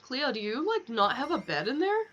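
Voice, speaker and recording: A woman's voice: high voice, very animated, moderate pace, fairly bright tone, slight background noise. A young woman chatting casually on a game livestream, candid and rambling, swearing freely as she goes.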